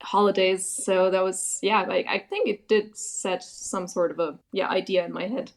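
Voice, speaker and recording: A young woman from Germany, a podcast guest answering in a relaxed way, laughing easily and using many fillers. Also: medium pitch, normal speed. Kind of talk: casual interview answers.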